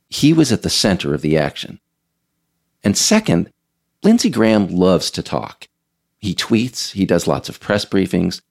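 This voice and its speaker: A man hosting a podcast series, reading scripted narration in a measured, emphatic, explanatory way. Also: low-pitched, a little mellow. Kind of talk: scripted narration, audio essay read aloud.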